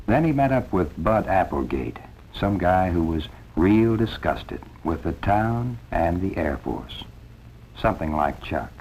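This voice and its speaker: Low-voiced young American airman. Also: darkish tone, a little hiss or hum. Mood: reflective. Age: young adult. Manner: folksy, conversational, wry. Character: easygoing young airman recounting his rivalry with his cousin. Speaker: narrator. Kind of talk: first-person 1950s film narration. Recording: dull 1950s film soundtrack with music.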